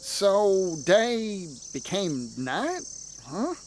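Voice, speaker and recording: An easygoing, cowboy-like fortune seeker in a game. The voice is folksy and colloquial, rising and falling freely in an unhurried drawl. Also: mid-range voice, big pitch swings, slight background noise. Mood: curious. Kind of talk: dramatic video game voice acting.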